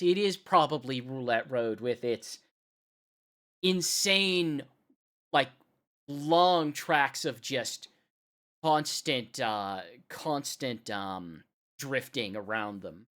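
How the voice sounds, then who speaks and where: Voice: medium pitch, a bit bright. A radio host chatting casually and enthusiastically between music requests on a live show, with informal, emphatic delivery.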